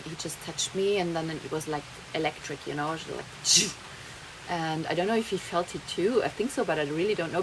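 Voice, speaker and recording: Chatty German woman, low voice. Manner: rambling, chatty, laughs often, slips German words in. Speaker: vlogger. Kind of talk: casual monologue to camera. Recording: noisy background, rain heard.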